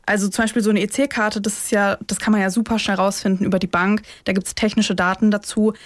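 A woman speaks as a podcast co-host in casual, conversational language. Her voice sits at an average female pitch. The recording is very dry, with no room sound. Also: flat delivery, fast, dry recording. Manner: colloquial, conversational. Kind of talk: casual true-crime podcast discussion.

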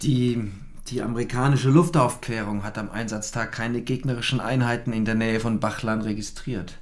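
Dogged male prosecutor, played by an actor, radio drama, mid-pitched, measured narrator and insistent interrogator, dry recording. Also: steady tone, no room sound, close to an everyday voice. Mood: probing, insistent.

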